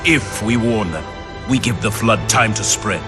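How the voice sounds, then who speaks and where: Casting: resolute game admiral, low register, weighty and grave, music loud underneath. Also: male voice, lively intonation, unhurried. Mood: grim, resolute. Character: grave, resolute male fleet commander. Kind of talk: video game voice acting.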